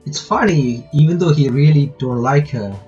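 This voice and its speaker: A man giving casual playthrough commentary and reading game text aloud, halting and often repeating his words. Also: on the high side, darkish tone, lo-fi sound, soft background music. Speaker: gaming reaction host.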